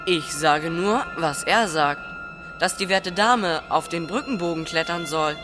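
A woman's voice: fairly low pitch, measured pace, music in the background, lightly acted. A woman playing a cunning thirteen-year-old boy in a radio play, coolly insistent and mock-polite. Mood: sly, mock-polite. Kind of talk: German radio play acting.

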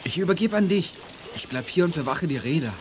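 A man's voice: a little high, animated, fast talker, noisy background, lightly acted. An actor playing an anxious teenage boy in a radio play for young listeners. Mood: easygoing. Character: anxious chubby teenage boy, worried about carrying money. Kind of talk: German youth radio play acting.

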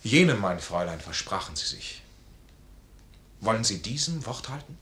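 Tense, roomy-voiced proud wounded officer. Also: male voice, fairly low pitch, big pitch swings, normal speed, fairly bright tone, slight background noise. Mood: bitter, proud. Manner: formal, anguished, declamatory. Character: proud, wounded, discharged army officer, honourable and tormented. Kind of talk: 1950s German radio play acting. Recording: roomy old 1950s radio studio recording.

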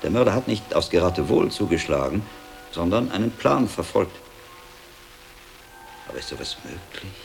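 An actor playing a sharp-minded, impatient medieval scholar monk in a German radio drama, reasoning aloud with deliberate emphasis. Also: male voice, deep voice, expressive, gravelly, faint music behind. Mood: brooding. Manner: deliberate reasoning aloud, given to exasperated outbursts.

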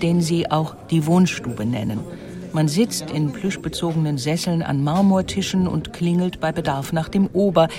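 A narrator reading a scripted documentary about history and art. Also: mid-range voice.

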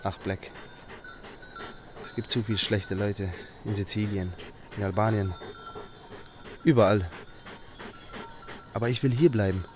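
A male actor playing a naive, earnest fifteen-year-old Albanian shepherd boy with simple, halting speech in a German radio drama. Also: on the low side, fairly even intonation, over music, close to an everyday voice. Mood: wistful, earnest.

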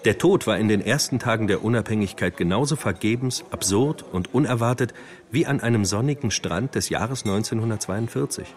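Male actor as young Algerian prisoner, lowish voice, terse, measured, quietly defiant, radio play. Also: lightly acted. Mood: detached. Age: 20s. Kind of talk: German radio drama acting.

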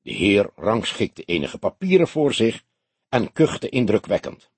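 A man narrating a detective novel as an audiobook, in deliberate, expressive storytelling. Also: on the low side, breathy edge, no room sound.

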